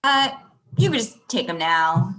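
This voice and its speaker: A woman playing a curt, fed-up young girlfriend ending a relationship, deadpan, in a table read over a video call. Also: medium pitch, measured pace. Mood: curt, weary. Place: indoor room on a video call.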